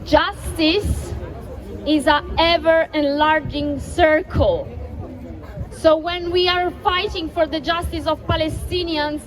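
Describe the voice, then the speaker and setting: Woman, high voice, rousing protest speech, repeats lines, handheld mic, outdoor square, crowd murmur. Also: steady tone, takes it slowly, low-quality recording. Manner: impassioned, rousing, repeats phrases. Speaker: rally speaker. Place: outdoor city square rally. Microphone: handheld mic through PA. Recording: outdoor PA with crowd and street noise.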